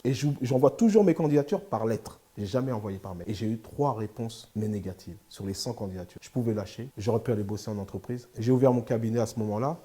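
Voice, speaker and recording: Middle-aged man, dark and rapid, emphatic interview guest. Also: medium pitch. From France. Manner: steady, emphatic, builds points in repeated short phrases. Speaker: interview guest, lawyer and sports agent. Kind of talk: candid interview talk.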